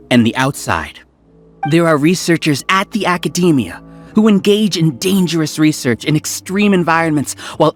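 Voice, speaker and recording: An actor playing a smug male scholar who runs a hive mind, talking in a calm, condescending lecture. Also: on the high side, close to an everyday voice. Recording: faint game music behind.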